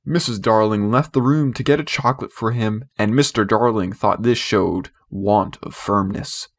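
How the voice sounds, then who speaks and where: A man's voice: fairly low pitch, moderate pace. Man narrating a classic storybook. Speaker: audiobook reader.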